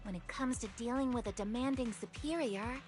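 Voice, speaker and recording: A woman's voice: a little high, fairly quick, music in the background, close to an everyday voice. A woman playing an earnest, dutiful young soldier in a video game, polite and eager.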